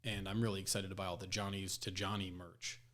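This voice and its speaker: A man's voice: low voice, little pitch movement, fast talker, fairly bright tone. An American man chatting casually as a panelist on a sports podcast.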